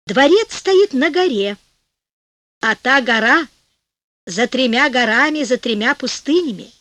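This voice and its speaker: A woman reading a children's fairy tale aloud as an audiobook narrator, lifting her voice for the fairies and birds. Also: no echo. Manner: lively, voices the fairies and birds with raised pitch. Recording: dry studio recording.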